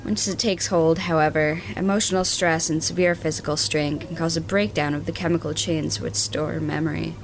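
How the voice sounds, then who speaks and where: A woman playing a matter-of-fact starship doctor in a science fiction audio drama, explaining things in a measured, clinical way. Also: deep voice, steady tone, soft background music, low-quality recording. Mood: grave, explanatory.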